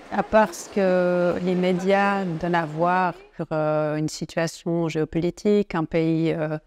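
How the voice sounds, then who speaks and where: A woman's voice: on the low side, a little mellow. Hesitant, thoughtful woman in interview. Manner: thoughtful, hesitant with many pauses. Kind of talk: documentary interview.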